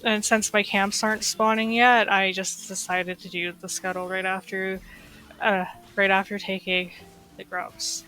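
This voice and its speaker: Bright, nasal young woman chatting casually. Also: mid-range voice, flat delivery, unhurried, faint music behind, rough recording. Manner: chatty, rambling, lots of fillers. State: recovering from illness, slightly stuffy. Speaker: gaming streamer. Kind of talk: casual gameplay commentary.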